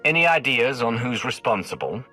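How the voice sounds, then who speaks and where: A man playing a determined, commanding Sith adventurer in a video game, terse, with a rough husky voice. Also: medium pitch, very animated, moderate pace, sharp tone. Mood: urgent, demanding. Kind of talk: scripted game voice acting. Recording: music behind the voice.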